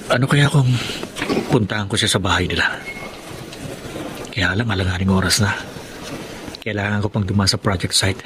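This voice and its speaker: An actor playing a polite but evasive engineer son in a Filipino radio drama, with a Filipino accent. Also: male voice, lowish voice, moderate pace, pauses often, noisy background, natural voice. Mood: pensive.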